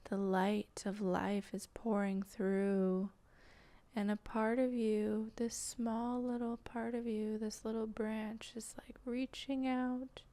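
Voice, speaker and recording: Slow, dark-voiced woman, soft and breathy. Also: medium pitch. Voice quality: soft, breathy, often slipping into whisper. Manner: slow, halting, gentle delivery with long pauses. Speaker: guided meditation host and channeler. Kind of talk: improvised channeled guided meditation.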